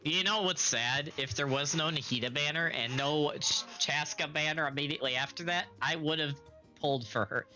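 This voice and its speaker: A young man streaming a game in casual chat, recovering from illness and slightly raspy, clearing his throat now and then. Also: fairly high pitch, pushed and bright, faint music behind, low-quality recording. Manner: slow, chatty, occasional coughs and throat clearing.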